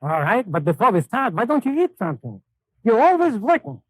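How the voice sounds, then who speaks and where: Very high, swooping comic sidekick. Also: male voice, huge pitch swings, fairly quick, breathy edge, dry recording, exaggerated voice, poor audio. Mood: chummy, fussing. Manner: exclamatory, put-on comic dialect. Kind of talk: 1930s radio dialect comedy.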